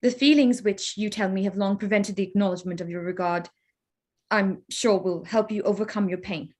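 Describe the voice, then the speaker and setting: A woman playing a proud, sharp-tongued young Regency gentlewoman in a staged reading, speaking in pointed, formal phrases. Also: medium pitch, fairly even intonation, brisk, close to an everyday voice. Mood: cool, restrained. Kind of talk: staged reading of a period drama.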